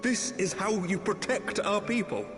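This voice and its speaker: An actor playing an idealistic, indignant grown son in a fantasy game, speaking earnestly in formal, archaic phrases. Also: male voice, high voice, moderate pace, lightly acted. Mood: appalled, accusing. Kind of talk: dramatic fantasy game voice acting. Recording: long hall reverb, loud music underneath.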